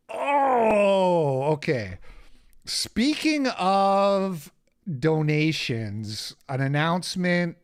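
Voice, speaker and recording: A man with a raspy voice hosting a casual livestream, rambling, sarcastic and quick to get irritated. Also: on the high side. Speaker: host.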